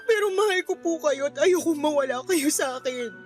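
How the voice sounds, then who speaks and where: A woman's voice: high-pitched, animated, fairly quick. A woman playing a devoted, hurt young granddaughter in a radio drama, pleading and emotional. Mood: tearful, pleading. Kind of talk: Tagalog radio drama acting. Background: background music.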